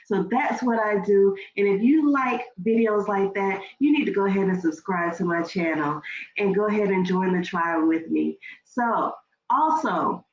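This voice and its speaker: A woman's voice: medium pitch, moderate pace. Stuffy-nosed chatty woman. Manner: chatty, rambling with fillers and restarts. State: stuffy nose. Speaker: YouTube reaction host. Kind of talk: casual reality TV recap. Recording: echoey room, dull lo-fi sound, faint noise.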